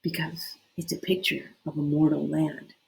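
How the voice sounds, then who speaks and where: A woman voicing a gentle, earnest young mermaid princess in a storybook tale, speaking politely. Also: sits low, little pitch movement, moderate pace, gravelly, roomy sound, rough recording. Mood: wistful. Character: gentle, lovely young mermaid princess, the Sea King's daughter.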